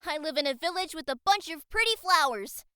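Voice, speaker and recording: An actor voicing a small childlike wax creature in an audio drama, earnest and timid, with hesitant, halting speech. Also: sits high, animated, pushed and bright, dry recording, studio quality, heavily acted. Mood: tentative, hopeful. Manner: hesitant, halting, searching for words.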